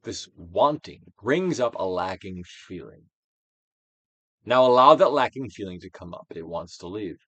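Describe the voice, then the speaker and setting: A man giving a dramatic, theatrical reading of a self-help book, emphatic, with swells and hushed drops. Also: lowish voice, animated, measured pace, no room sound, highs cut off. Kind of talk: theatrical dramatic reading of scripted instructional text.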